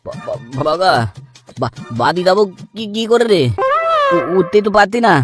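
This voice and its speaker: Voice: medium pitch, animated, normal speed, over loud music, natural voice. An actor voicing a befuddled, clingy drunk village man with a stammering, lisping slur in a comic cartoon dub. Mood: drunken, needy.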